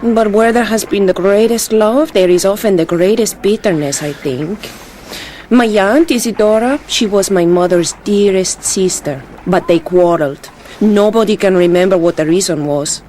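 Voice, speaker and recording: An actress playing a gentle but shrewd young runaway bride in a radio drama. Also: female voice, mid-range voice, a little hiss or hum, natural voice, low-quality recording. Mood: calm. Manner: soft, measured, slyly practical. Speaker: young wife.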